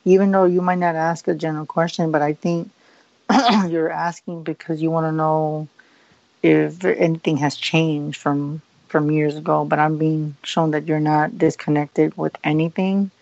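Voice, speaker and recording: A man's voice: on the high side, little pitch movement, unhurried, creaky, darkish tone, dry recording, muffled recording. A man working as a psychic medium on a live radio show, giving a hesitant reading full of fillers and repeated likes.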